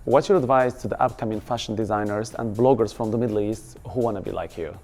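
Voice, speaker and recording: A man working as a showbiz reporter, putting brisk interview questions to his guest. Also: on the low side, a little mellow, faint music behind.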